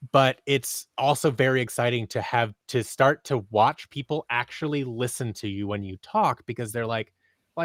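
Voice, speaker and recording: A man's voice: mid-range voice, a bit bright, no echo. Young man chatting, dry close sound. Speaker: podcast guest, audio drama creator. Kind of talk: casual chat. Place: small bright room at home, video call. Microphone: microphone on boom arm.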